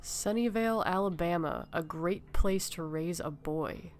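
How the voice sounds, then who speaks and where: A woman's voice: lowish voice, a little slow, a little hiss or hum, lightly acted. A woman playing a brash, teasing young survivor in an amateur audio horror story, with a blunt, sarcastic manner. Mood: wry. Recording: music behind the voice.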